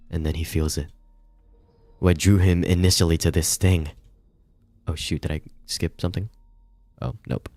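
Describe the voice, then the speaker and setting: A man's voice: low voice, darkish tone, no room sound. A man streaming a game, reading its narration aloud with informal asides, some swearing and stumbles over long words.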